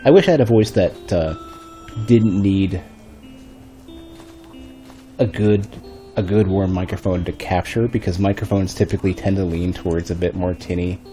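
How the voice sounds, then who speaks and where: Man, deep bass-heavy voice, mellow tone, a little stuffy, rambling game commentary, faint music behind. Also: fairly even intonation, normal speed, pauses often. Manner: rambling, thinking aloud with restarts. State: a bit stuffy. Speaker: streamer. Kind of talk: casual gameplay commentary. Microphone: desk microphone.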